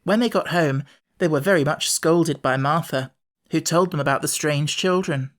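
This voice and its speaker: A woman's voice: deep voice, normal speed. A woman reading a scripted children's story as an audiobook narrator.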